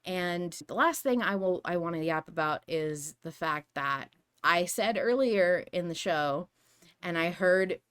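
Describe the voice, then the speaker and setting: A woman's voice: on the low side, lively intonation, measured pace, a bit bright, smooth. Emphatic woman podcast host. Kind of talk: casual fan discussion and analysis.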